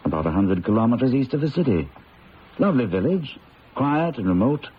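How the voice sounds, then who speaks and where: An actor playing an enthusiastic, sociable researcher with a hearty, exclamatory way of talking in an old-time radio drama. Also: male voice, medium pitch, very animated, a little fast, natural voice. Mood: hearty, excited. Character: enthusiastic, sociable British psychology researcher, breezy friend. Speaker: actor playing the lead's colleague. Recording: dull, hissy old radio recording.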